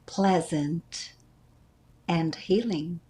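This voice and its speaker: A woman leading a guided meditation as its teacher, speaking gently and deliberately with frequent pauses. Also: on the low side. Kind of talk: guided meditation, calm instruction.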